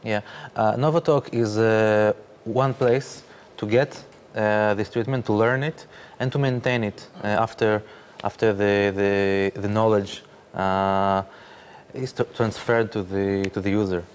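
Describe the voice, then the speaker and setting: A man, speaking haltingly with many fillers as a guest in a studio interview. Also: on the low side, fairly even intonation, a little hiss or hum. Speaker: interview guest, startup founder.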